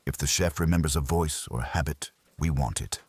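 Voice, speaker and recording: A man voicing an imperious, pedantic master detective who gives orders in formal, ornate phrasing with a husky low voice. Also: moderate pace, darkish tone, no echo. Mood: commanding.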